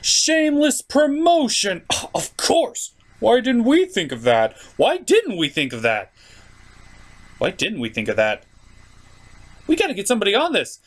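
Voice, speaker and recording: An excitable frog puppet host, acted out by a man, pitched high and swooping about. He is a flustered showman who stammers and exclaims frantically. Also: measured pace, exaggerated voice. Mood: excited, frantic.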